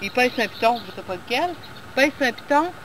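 A woman's voice: fairly high pitch, rough recording. A woman with a Quebec French accent, animatedly telling friends a story about her grandson at a campground. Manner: animated storytelling, quick asides. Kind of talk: casual chat among friends. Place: campground outdoors. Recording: loud crowd and outdoor noise.

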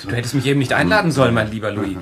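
A man's voice: mid-range voice, lively intonation, rapid-fire, crowd noise, natural voice. An actor playing a dry-humoured male dinner guest in a radio play. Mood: wry.

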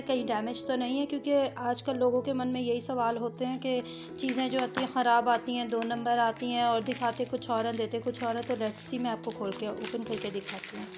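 A woman reviews a product at home in a casual, chatty vlog, pausing often on fillers. Her voice sits fairly high. Clear music plays under her speech. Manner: chatty, with many hesitations. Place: home, indoors.

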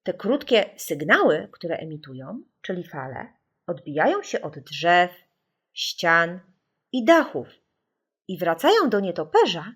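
Woman playing a caring, expressive mother. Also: lowish voice, breathy edge, heavily acted. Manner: gentle, patient, explaining simply. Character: calm, caring mother reassuring frightened children.

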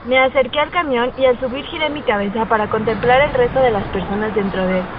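A woman's voice: fairly high pitch, quick pace, narrow, tinny audio, a crowd in the background, background noise, close to an everyday voice. A woman playing a teenage schoolgirl who narrates her own story in a radio drama. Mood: calm, storytelling. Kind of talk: first-person radio drama narration and dialogue.

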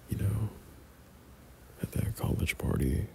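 A young man doing intimate boyfriend roleplay ASMR in a breathy, often whispered voice, speaking tenderly and hesitantly. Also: on the low side, slight background noise. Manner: tender, hesitant, softly chuckling. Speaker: boyfriend ASMR audio performer.

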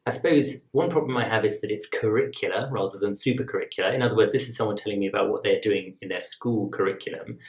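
A man in his thirties speaks to camera as an admissions tutor, measured and conversational, often checking in with a quick question. His voice sits a little low. The recording carries a noticeable roomy echo. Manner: measured, conversational, rhetorical asides. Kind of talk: explaining to camera, advice lesson.

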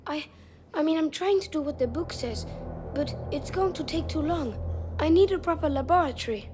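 A woman's voice: high voice, lively intonation, normal speed, music in the background. A woman voicing an earnest, studious young boy alchemist's apprentice in a cinematic game drama. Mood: strained, defensive.

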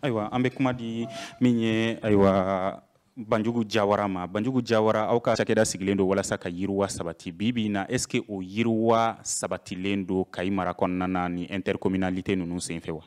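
A young-sounding man in his thirties with a fairly low voice and a Malian accent. He moderates a panel, posing questions and restating points for listeners. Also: steady tone, brisk, darkish tone. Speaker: moderator, host. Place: indoor panel room. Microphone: handheld microphone with foam cover.

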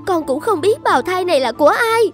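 A woman voicing a naive young daughter in an animated folktale. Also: very high-pitched, quick pace. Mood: alarmed, pleading. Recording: music bed under the voice.

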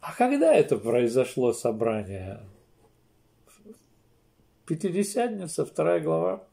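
An older man, a pastor, preaching a sermon slowly and emphatically from a small room, with pauses and restarts. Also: fairly high pitch, huge pitch swings, creaky, warm tone. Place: small room indoors, seated in armchair.

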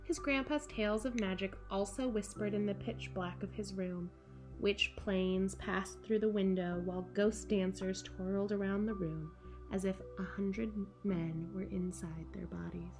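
An American woman presents a storytime for young children in an expressive, enthusiastic way. Her pitch sits in a middle range, and she is recorded indoors at home with music in the recording. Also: measured pace. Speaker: camp director hosting a children's story corner. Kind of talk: children's storytime presenting and picture-book read-aloud. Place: small furnished room at home, indoors.

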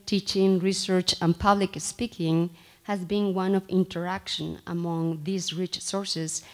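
Woman, 30s, formal introducer, low-pitched, measured and slightly halting, podium mic. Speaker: faculty member introducing the speaker. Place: small lecture room, indoors. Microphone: podium microphone.

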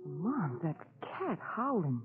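A plucky young lady sidekick in a vintage radio mystery. She speaks in a spirited, very animated voice through a tinny, phone-like recording. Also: fairly low pitch, takes it slowly. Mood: nervous. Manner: bantering, spirited. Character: plucky, teasing young lady companion to a sleuth. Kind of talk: 1930s radio drama acting. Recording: tinny narrow-band 1930s radio recording.

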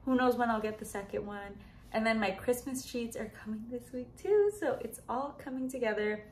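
A woman's voice: fairly high pitch, normal speed, darkish tone, a little hiss or hum. A young woman in her twenties chatting casually to the camera at home, upbeat and full of "like" and "just". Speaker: lifestyle vlogger. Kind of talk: casual vlog chat to camera. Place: home living room and bedroom.

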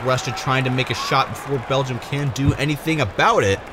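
A male commentator calls a race play by play in a medium-pitched voice. A loud crowd and heavy background noise run behind him throughout. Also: a little fast. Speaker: sports commentator for marble races. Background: loud crowd and ambient noise.

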